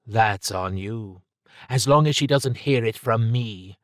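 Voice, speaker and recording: A man's voice: on the low side, slow, dry recording, studio quality, pitched above the performer's own voice. A man voicing a blunt, guarded woman agent. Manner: blunt, pressing, clipped.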